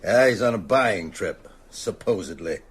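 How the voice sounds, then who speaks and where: Lively, low-voiced older small-town man. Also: a little slow, slightly rough. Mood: probing, uneasy. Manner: conversational, probing. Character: sociable older man, shrewd, uneasy small-town local. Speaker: actor in a radio drama. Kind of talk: naturalistic audio drama dialogue.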